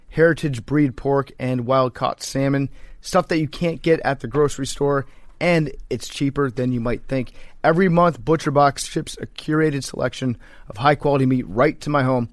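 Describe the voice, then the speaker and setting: A man's voice: mid-range voice, moderate pace, dry recording. Dry-miked male podcast host. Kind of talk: casual banter and host-read ad.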